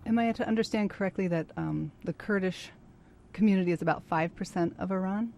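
A woman hosting an interview show, introducing her guest and asking questions in a measured, clear manner. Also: medium pitch, darkish tone, slight background noise. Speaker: interview host. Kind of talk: radio-style interview.

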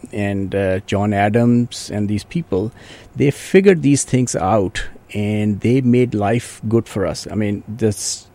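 A man's voice: mid-range voice, a little mellow. A man from Pakistan, a native Urdu speaker, answering radio interview questions as a guest in an earnest, thoughtful way, often restarting his sentences. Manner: earnest, thoughtful, with frequent restarts and "you know". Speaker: guest, orthopedic surgeon.

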